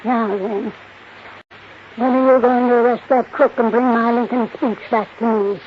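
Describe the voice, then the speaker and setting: A woman's voice: a little high, steady tone, normal speed, close to an everyday voice. An actress playing a blind, wealthy old woman in a radio drama, blunt, demanding and cantankerous. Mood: imperious. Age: older. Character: blind, crotchety, bossy old wealthy matriarch. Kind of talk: 1950s radio drama acting. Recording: noisy, muffled old 1950s radio recording.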